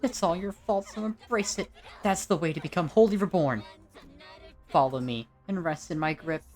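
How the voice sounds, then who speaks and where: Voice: medium pitch, moderate pace, a little mellow, soft background music, voice pushed up, natural voice. A performer voicing a gloating, fanatical female villain of monstrous flesh, in a taunting, theatrical way. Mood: zealous.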